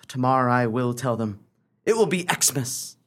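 An actor playing a rich, brooding city financier returned home, in a comic radio melodrama monologue. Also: male voice, mid-range voice, lively intonation, a little slow, a bit bright. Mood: brooding, self-important.

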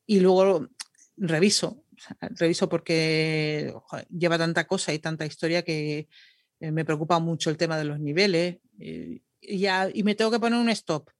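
A woman's voice: sits low, steady tone, moderate pace, no room sound, studio quality. A woman, a radio announcer and podcaster, chatting casually as a guest and laughing at herself. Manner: chatty, self-deprecating, laughing. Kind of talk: casual interview chat.

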